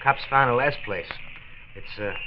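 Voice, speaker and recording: An actor playing a cocky, pushy young street tough in a 1940s radio drama, slangy and needling on a noisy old recording. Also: male voice, medium pitch, moderate pace, muffled recording, natural voice. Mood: taunting, chummy.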